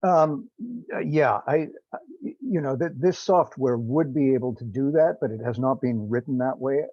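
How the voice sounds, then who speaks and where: A man with a mid-pitched voice on a dull, dry conference line. He explains technical matters informally, in a halting, hesitant way. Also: dry recording. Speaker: meeting participant explaining software. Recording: dull, lo-fi conference call audio.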